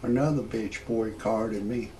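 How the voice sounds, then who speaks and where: A man in his sixties hosting a parody show, rambling through a comedic monologue in a halting, repetitive, grumbling way with a gravelly voice. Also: on the low side, warm tone, slight background noise. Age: 60s. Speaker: host of a parody report show.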